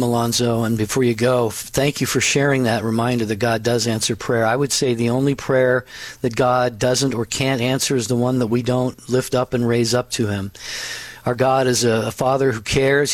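A man co-hosting a religious call-in radio show, who reads listener texts and answers callers in a gentle, reassuring, pastoral way. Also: mid-range voice, steady tone, moderate pace, dry recording. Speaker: radio co-host.

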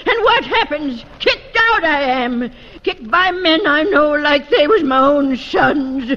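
A bitter older American frontier woman in a radio western. Her high, piercing voice drawls slowly and scornfully, on a dull, hissy old recording. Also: lively intonation, fairly bright tone, exaggerated voice. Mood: bitter, aggrieved.

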